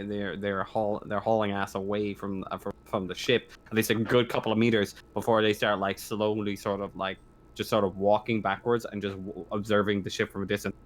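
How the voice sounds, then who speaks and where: Low-voiced, halting male game master. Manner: halting, stammering, repeats and revises phrases. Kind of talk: improvised tabletop game narration.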